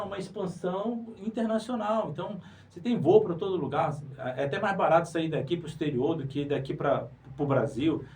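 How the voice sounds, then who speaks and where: A man's voice: high-pitched, a little mellow. A man from northern Brazil giving an interview, explaining with rhetorical questions and an emphatic, teacherly manner. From Pará, Brazil. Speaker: guest, writer and museologist. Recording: distant mic with faint noise.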